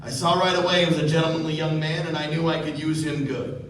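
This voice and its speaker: A man's voice: a little high, little pitch movement, quick pace, fairly bright tone, close to an everyday voice. A man playing a slippery, self-important older underworld businessman in a live radio play, with emphatic, colloquial delivery. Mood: boastful. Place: live stage performance. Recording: echoey distant stage, background noise.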